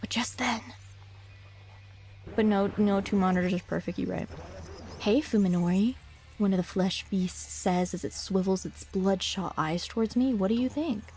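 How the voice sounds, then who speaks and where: A young woman streaming, talking casually to her chat in slang and reading game text aloud, a little hoarse from a cough. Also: medium pitch, moderate pace, darkish tone. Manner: slangy, rambling, talks to chat.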